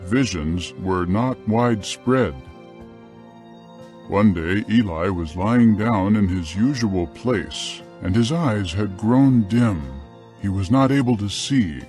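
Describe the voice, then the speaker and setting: Slow, solemn male scripture narrator. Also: on the low side, a little mellow, soft background music. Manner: solemn, measured, clearly phrased.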